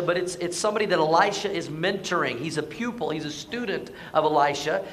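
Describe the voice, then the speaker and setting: This is a man giving a Sunday sermon to his congregation. His voice is hoarse and sits high, and he keeps the tone chatty. He often asks his listeners to answer back. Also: fairly bright tone. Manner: conversational, asks the congregation to respond. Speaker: pastor. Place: church sanctuary.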